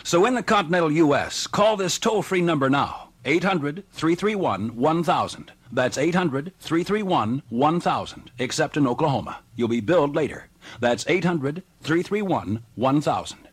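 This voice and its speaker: A man with a husky voice reading a direct-response radio advertisement. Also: on the high side, expressive, moderate pace. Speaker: commercial announcer.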